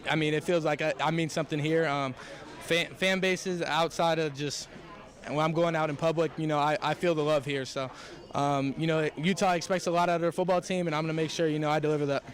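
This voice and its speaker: A man's voice: on the high side, fairly even intonation, brisk. A young man, a college football quarterback, answering questions in a press interview. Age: young adult.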